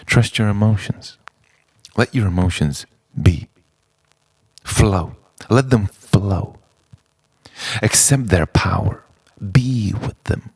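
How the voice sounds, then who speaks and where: A man delivers introspective spoken-word poetry as a monologue, in a dramatic, deliberate way with pauses between phrases. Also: fairly low pitch, darkish tone, husky, no room sound, low-quality recording. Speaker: poet.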